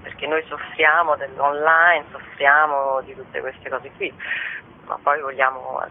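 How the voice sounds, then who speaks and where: A woman's voice: rumbling low, flat delivery, a little slow. A woman being interviewed on the radio as a guest bookseller. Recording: muffled narrow phone line with noise.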